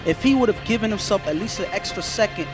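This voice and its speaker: A man co-hosts a local football talk show, breaking down strategy in an earnest, building way. His voice sits high, and faint music plays behind him. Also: animated, fast talker. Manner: earnest, repeats words while building a point. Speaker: co-host, sports analyst. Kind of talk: casual sports analysis.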